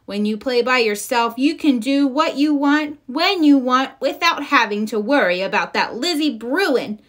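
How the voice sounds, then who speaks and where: Woman voicing a feisty, high little girl bear. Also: unhurried. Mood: angry, sulky. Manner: excitable, protesting, emphatic. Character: feisty, indignant six-year-old girl bear cub. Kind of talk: children's storybook read-aloud.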